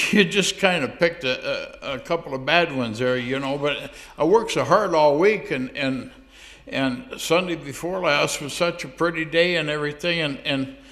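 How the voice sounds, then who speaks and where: Brief: a man playing a stammering, sheepish churchgoer, slow-talking, medium pitch. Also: a bit bright. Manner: stammering, hesitant, with many restarts. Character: sheepish churchgoing man making excuses to God. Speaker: church speaker. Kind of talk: comic phone-call monologue.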